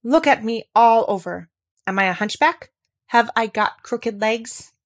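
Storybook role: haughty young master, a boy, commanding, sharp and proud, read by a woman. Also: a little high, animated, a little slow, pitched above the performer's own voice. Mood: imperious, angry.

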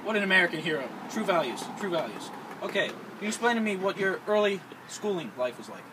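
A man's voice: high-pitched, very animated, fast talker. A teenage boy playing a gushing male TV sports reporter who heaps over-the-top praise on his guest. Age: teens. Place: outdoor park shelter. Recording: distant camera, outdoor noise.

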